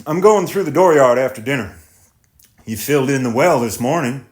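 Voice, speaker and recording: A man's voice: mid-range voice, lively intonation, moderate pace, pitched above the performer's own voice, lightly acted. A man reading aloud, voicing a terse, defensive young tractor driver in clipped working-class speech. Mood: blunt.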